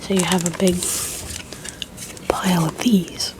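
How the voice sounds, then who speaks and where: A woman whispering softly and very quietly as she chats through an ASMR video at home. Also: on the low side, flat delivery, background noise. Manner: slow, unhurried chatty whispering. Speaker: ASMR creator. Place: home room. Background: crinkling of paper and book stickers.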